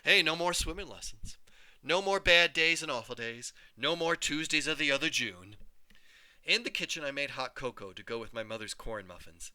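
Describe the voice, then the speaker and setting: A man, a teacher, reading a story aloud with expressive, animated storytelling. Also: on the high side, normal speed, no echo. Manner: animated, voices each character.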